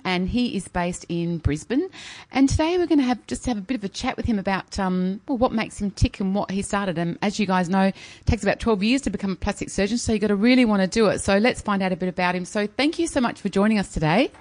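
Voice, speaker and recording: A woman's voice: medium pitch, animated, quick pace, few pauses, darkish tone. A woman with an Australian accent hosting an interview in an informal, effusive, chatty way. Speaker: podcast host interviewer.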